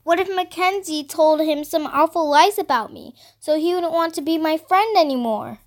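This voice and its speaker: A woman's voice: sits high, normal speed, voice pushed up, close to an everyday voice. A woman voicing an anxious young teenage girl who reads her diary in a melodramatic, emphatic audiobook style. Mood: panicky. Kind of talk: first-person audiobook diary narration.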